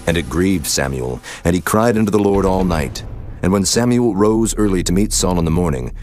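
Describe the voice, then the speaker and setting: A man narrating scripture in a solemn, steady storytelling manner. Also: low-pitched, moderate pace, faint music behind.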